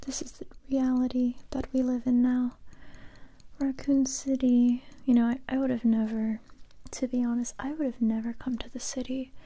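Actress as young female survivor turned gentle, guarded interrogator. Breathy, even ASMR whisper, close to the mic. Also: high voice. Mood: quietly menacing, then wistful. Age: young adult. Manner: slow, hesitant, intimate close-up delivery. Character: young woman survivor, kind but wary interrogator. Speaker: ASMR roleplay performer. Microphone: close mic, whispering near the listener.

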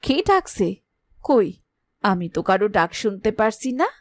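A woman's voice: mid-range voice, sing-song, moderate pace, no room sound, lightly acted. A woman voicing a strict, worried mother in a fairy-tale cartoon, firm and questioning. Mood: doubtful. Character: strict, worried, protective mother of a young girl. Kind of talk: cartoon voice acting.

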